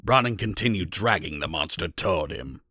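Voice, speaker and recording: A husky, forceful man narrates a scripted fantasy tale. His voice is low, with a storyteller's formal delivery. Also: animated, moderate pace.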